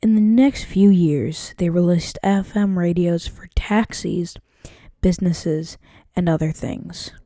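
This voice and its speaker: A woman's voice: medium pitch, dark tone, no echo, studio quality. A woman student presenter reading scripted documentary narration, occasionally stumbling over a word.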